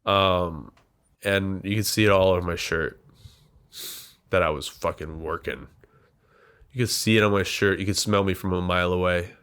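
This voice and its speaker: A man's voice: sits low, steady tone, moderate pace, pauses often, fairly bright tone, smooth. A young man hosting a comedy podcast, rambling casually and self-deprecatingly, his voice congested and nasal. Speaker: podcast host. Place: small warm room with a computer.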